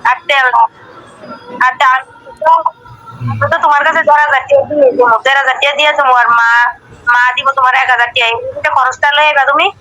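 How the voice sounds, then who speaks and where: A woman's voice: high voice, fairly even intonation, fast, pushed and bright, rough recording. A woman chatting casually on a phone video call, talking at length as she explains her plans. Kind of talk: casual chat.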